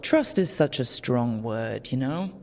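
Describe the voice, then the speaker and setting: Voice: mid-range voice, lively intonation, measured pace, a little hiss or hum. An actor playing a weary but wry young adventurer who leads a team in a video game. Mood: wry, resolute.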